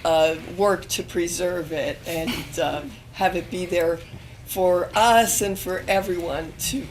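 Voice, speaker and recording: A woman in her fifties, hoarse and losing her voice, speaking on an alumni panel at a university event, with many pauses and restarts. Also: on the low side, slight background noise, rough recording. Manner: hesitant, many ums and restarts. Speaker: panel speaker, magazine editor. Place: university event room with audience.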